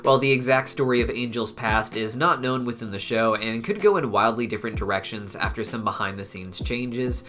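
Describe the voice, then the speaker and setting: A man's voice: mid-range voice, brisk, few pauses, bright, forceful tone. A man hosting an explainer video, reading scripted narration in long, flowing explanatory sentences. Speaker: host of a cartoon explainer video channel.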